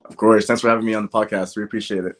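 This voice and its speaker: A man's voice: on the low side, little pitch movement, rapid-fire. A young man from Nigeria who grew up in the American Midwest, talking casually as a podcast guest and peppering his stories with "like" and "you know". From born in Nigeria, grew up in the American Midwest. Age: young adult. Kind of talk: casual interview, personal storytelling.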